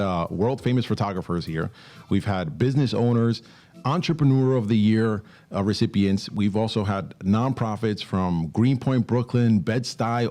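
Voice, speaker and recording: A man's voice: fairly low pitch, mellow. A man hosting a radio show, chatting casually and switching between English and Spanish with upbeat energy. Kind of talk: casual bilingual radio talk. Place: radio studio.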